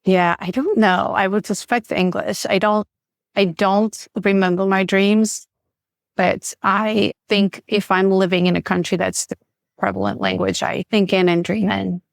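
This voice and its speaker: A woman's voice: medium pitch, measured pace, vocal fry, no room sound, studio quality. A woman, speaking as a podcast guest in a frank, matter-of-fact way. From Bulgaria. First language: Bulgarian. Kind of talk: casual interview chat.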